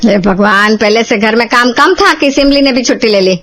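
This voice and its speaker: Woman playing a high-pitched bossy mother-in-law. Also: fairly quick, fairly bright tone, soft background music. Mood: exasperated.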